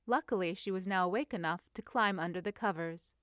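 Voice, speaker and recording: A woman's voice: fairly low pitch, brisk, fairly bright tone, clean tone, no room sound, studio quality. A woman reading a story as a scripted narration. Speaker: fan fiction narrator.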